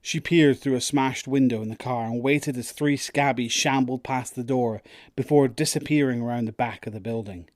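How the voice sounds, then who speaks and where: A man's voice: medium pitch, moderate pace, darkish tone, no room sound, clean studio sound. A man narrating a scripted audio drama as its storyteller.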